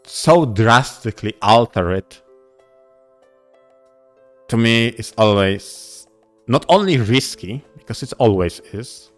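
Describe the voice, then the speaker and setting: A man co-hosting a podcast in casual discussion, speaking in a deliberate, halting way. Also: mid-range voice, animated, faint music behind. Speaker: podcast co-host. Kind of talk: casual discussion of visual novels.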